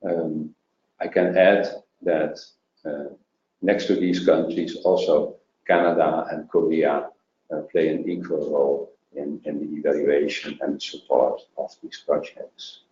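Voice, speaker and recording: A man presenting a programme in a webinar, halting with many fillers and restarts. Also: rumbling low, level tone, darkish tone, a little hiss or hum. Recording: roomy, dull lo-fi sound.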